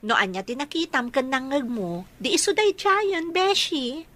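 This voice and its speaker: A woman plays a sensible young working daughter in a radio drama. Her voice sits a little high, matter-of-fact with a touch of exasperation, in an Ilocano accent. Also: expressive, measured pace, sharp tone, heavily acted. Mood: guarded. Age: young adult.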